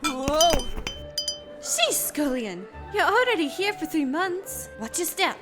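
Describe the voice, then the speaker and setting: A woman playing a bossy, brash servant in a dramatic fan dub, brusque and expressive. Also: high voice, slow pace, exaggerated voice. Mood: exasperated. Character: bossy, scolding female servant, brash and exasperated. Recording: music behind.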